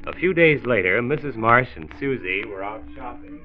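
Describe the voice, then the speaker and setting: A man's voice: medium pitch, expressive, moderate pace, natural voice. A man narrating a scripted 1940s radio drama as its storyteller. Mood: storytelling. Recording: muffled vintage radio recording with music.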